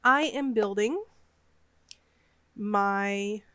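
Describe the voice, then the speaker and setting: Vlogger woman, 30s, sniffly from a cold, slow and meandering, dry close sound. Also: medium pitch, fairly bright tone, no echo. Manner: rambling, hesitant, lots of fillers. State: has a cold, a bit sniffly. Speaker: gaming YouTuber. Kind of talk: casual voiceover over a speedbuild.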